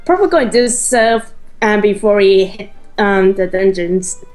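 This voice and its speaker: A woman co-hosting a casual let's play, chatting in a hesitant, halting way as she explains things. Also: medium pitch, a little mellow. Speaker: gaming co-host. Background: faint game music.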